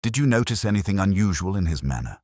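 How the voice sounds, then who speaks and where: Guy reading as a calm male inspector in a detective audiobook, gentle, understated, very low voice. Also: fast, dry recording, studio quality. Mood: mild probing.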